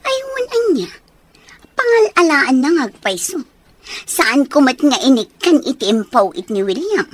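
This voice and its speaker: An actress playing a brazen, defiant young woman in a radio drama, with a sharp-tongued, challenging manner. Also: sits high, big pitch swings, measured pace. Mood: scheming, irritated.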